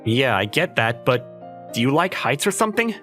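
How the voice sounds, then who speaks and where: A man as an animated, teasing young male student. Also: medium pitch, normal speed, soft background music. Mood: puzzled. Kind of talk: manga dub acting with first-person narration.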